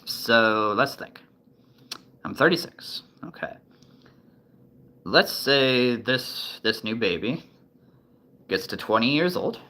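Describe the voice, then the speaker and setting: A man's voice: mid-range voice, expressive, slow, long pauses, bright, forceful tone. A man in his thirties chatting casually to the camera, thinking aloud with many ums and restarts. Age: 30s. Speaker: vlogger.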